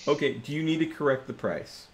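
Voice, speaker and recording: A man's voice: a little high, brisk, a little hiss or hum. A man playing a tabletop roleplaying game, chatting casually in and out of character with playful banter.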